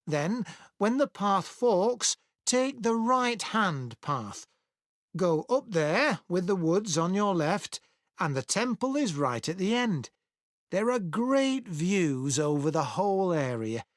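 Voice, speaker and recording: A woman's voice: mid-range voice, sing-song, slow pace, no echo, studio quality. A woman playing a friendly, organised guide giving helpful explanations in a scripted listening-test monologue. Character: friendly organised tour guide briefing volunteers.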